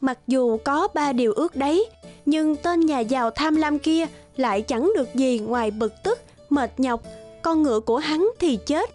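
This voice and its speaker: High-pitched woman narrating fairy tales over music. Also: normal speed, a little mellow. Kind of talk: scripted children's fairy-tale narration. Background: children's guitar music.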